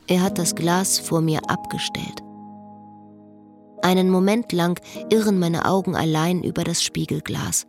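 Pensive, wry female storyteller, lowish voice. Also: unhurried, a little mellow, soft background music. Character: melancholy, wry woman narrating her own story. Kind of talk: literary radio play, inner monologue and dialogue.

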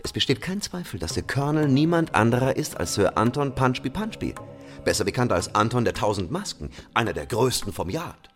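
An actor playing a dapper, excitable British gentleman monster hunter in a radio play, pompous and mannered. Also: medium pitch, fairly quick, faint music behind, natural voice. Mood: assured.

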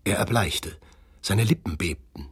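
A man narrating a German literary radio drama in a measured, serious, scripted style. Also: low-pitched, expressive, breathy edge, heavily acted. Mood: measured, grave.

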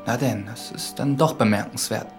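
An actor playing a reserved, educated priest in a radio drama, speaking in a measured, formal way. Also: male voice, medium pitch, soft background music, close to an everyday voice. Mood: surprised, polite. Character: reserved, educated priest visiting a village from town.

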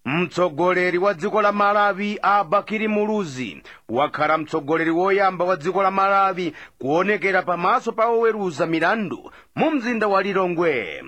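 High, lively male newsreader. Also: normal speed, dry recording. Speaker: web radio newsreader. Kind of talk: news reading.

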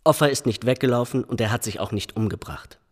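An actor playing a brisk, businesslike police detective in a radio drama. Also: male voice, medium pitch, big-room sound, background noise, natural voice.

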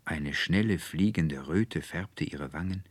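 A low-voiced man reads a literary tale as an audiobook narrator. His delivery is expressive and scripted. The recording is close and dry. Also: slow, no echo.

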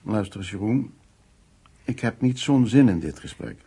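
Calm, very raspy, gravelly gentleman. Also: lowish voice. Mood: dry, unruffled. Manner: calm, terse, measured. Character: composed, dry, tolerant mature man, rival in love. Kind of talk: naturalistic radio play acting.

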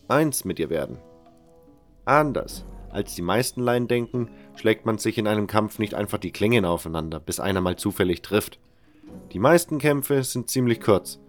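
A man's voice: on the low side, natural voice. Man voicing a dry, seasoned sword mentor. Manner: instructive, dry, slightly condescending. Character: seasoned male fighter, patient, mocking sword mentor. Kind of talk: fan audio drama character reading.

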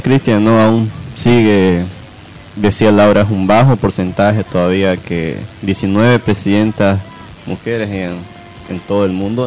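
Low-voiced male radio co-host. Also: darkish tone, a little hiss or hum, rough recording. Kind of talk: informal radio discussion.

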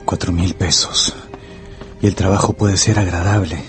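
A man acting as a young scholar in a radio play, courteous and unsure of himself. His voice is fairly low, with music underneath. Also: level tone, moderate pace, lightly acted. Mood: pensive. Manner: polite, hesitant. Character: hesitant, polite, studious young male historian. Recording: music behind the voice.

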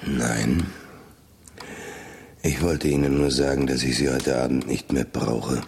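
A thoughtful, reserved man of science in a radio play. The voice is extremely deep, with a hesitant, reflective delivery. There is faint noise and clicking in the recording. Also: steady tone, unhurried, creaky, darkish tone, full character voice. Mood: weary, reserved. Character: thoughtful, reserved male scientist and wealthy employer. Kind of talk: radio drama acting.

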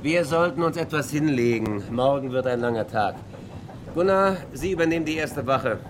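A man's voice: mid-range voice, lively intonation, moderate pace, background noise, lightly acted. An actor playing a pragmatic male boat captain in a German radio drama, speaking with formal, matter-of-fact authority. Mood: calm, authoritative.

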